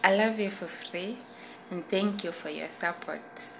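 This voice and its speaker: A woman's voice: lowish voice, a little slow. A young adult woman chatting casually and affectionately to viewers as a cooking vlogger, effusive in manner, recorded indoors on a phone at arm's length. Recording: clearly noisy background.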